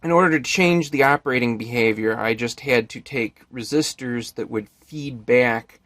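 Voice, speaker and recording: A man's voice: medium pitch, normal speed, a bit bright, no echo. Male hobbyist presenter, mid-range voice, methodical and technically exact, walking through a device demo in a dry recording. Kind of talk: explanatory tech demonstration.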